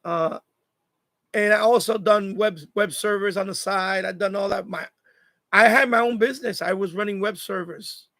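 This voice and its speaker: High-pitched New man, creaky voice. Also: fairly even intonation, normal speed, sharp tone, dry recording. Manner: repeats phrases for emphasis, advice-giving. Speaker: live stream host. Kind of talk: casual live chat monologue.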